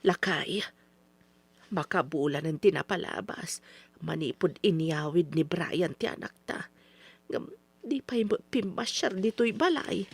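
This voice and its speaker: A woman's voice: fairly low pitch, lively intonation, a little slow, husky, full character voice. An actress playing a worried, reproachful older mother and wife in old radio drama style.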